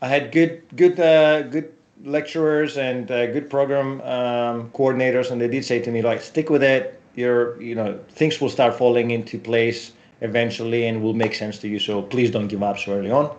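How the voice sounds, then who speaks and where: A man's voice: medium pitch, normal speed, lo-fi sound. Greek-speaking man telling his story. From Europe. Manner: storytelling, animated asides. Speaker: guest. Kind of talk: casual interview.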